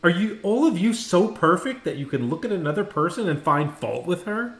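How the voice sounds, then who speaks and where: A man's voice: high voice, very animated, fairly quick, roomy sound, voice pushed up. A man reading a storybook as a kind but firm, earnest and emphatic male schoolteacher. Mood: stern, indignant.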